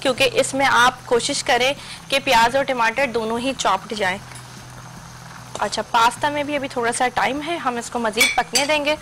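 A woman's voice: a little high, fairly even intonation, moderate pace, a bit bright, slight background noise, poor audio. A young woman, hosting a TV cooking demonstration from a studio kitchen and walking viewers through each step. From Pakistan. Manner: instructive, addresses viewers directly. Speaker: cooking show host.

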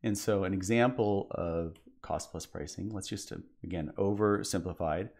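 A man's voice: deep voice, moderate pace, darkish tone. A man presenting a conference session, explaining in a casual way with frequent fillers and restarts. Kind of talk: business presentation.

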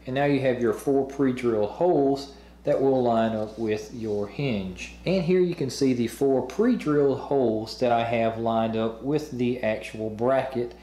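Mellow-voiced young man explaining assembly. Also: medium pitch, measured pace. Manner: plain, matter-of-fact explaining. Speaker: host of a review channel. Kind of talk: instructional product assembly voice-over.

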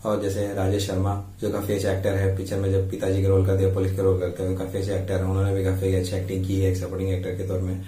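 An Indian man with a slightly sore throat hosts a casual film review. His voice is low and extremely monotone, with halting, repetitive phrasing. It sounds a little distant from the microphone. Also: slight background noise. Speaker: YouTube film reviewer and host. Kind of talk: casual movie review to camera. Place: indoors before a green screen. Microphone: lapel mic. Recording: roomy echo, distant-sounding mic.

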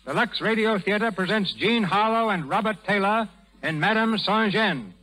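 A man's voice: high-pitched, normal speed, rough recording. A man announcing and narrating a 1930s radio drama in a theatrical, declamatory style. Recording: old 1930s radio broadcast, loud music.

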